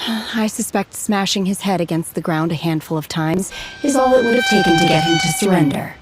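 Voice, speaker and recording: Woman doing a cool, detached fighter, soft and composed, lowish voice, game music loud behind. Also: a little fast, lightly acted. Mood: calm. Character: calm, aloof young woman warrior with a grim streak. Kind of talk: game voice acting. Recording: music underneath.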